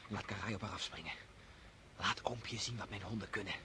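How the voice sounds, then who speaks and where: A young actor playing an eager young nobleman on a wolf hunt, excitable and swinging from hushed to shouting, in a hissy vintage radio play. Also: fairly low pitch, a little fast, lightly acted. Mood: hushed hopeful. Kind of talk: vintage radio drama acting.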